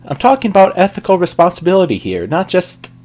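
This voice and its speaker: A man's voice: on the low side, lively intonation, brisk, darkish tone, slightly rough. A man voicing a responsible young female pony in a story, earnest, questioning and given to scolding.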